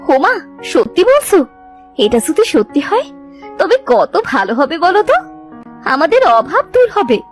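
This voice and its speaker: Cartoon village wife, voiced by a woman: high, lively swoops, bossy yet kind, music underneath. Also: normal speed, long pauses. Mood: delighted, coaxing. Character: bossy but good-hearted village wife. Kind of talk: Bengali cartoon folk-tale dubbing.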